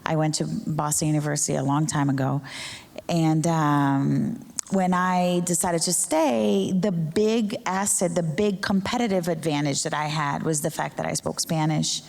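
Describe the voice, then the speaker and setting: A woman's voice: low voice, steady tone, moderate pace, creaky, darkish tone. A middle-aged woman from Brazil, a native Portuguese speaker, talking informally to an audience as a professor on a panel, searching for words at times. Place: large hall with an audience. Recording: echoey hall with long reverb.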